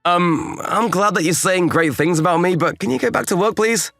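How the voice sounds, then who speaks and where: A man playing a calm, kindly young programmer and mentor in an anime-style manga dub, reassuring and easygoing. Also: sits high, normal speed, creaky, faint music behind.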